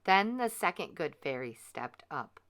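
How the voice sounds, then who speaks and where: A woman narrates a children's picture book with expressive, measured drama. Her voice is fairly low, smooth and bright, and she takes her time over each line. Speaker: storyteller reading a picture book aloud.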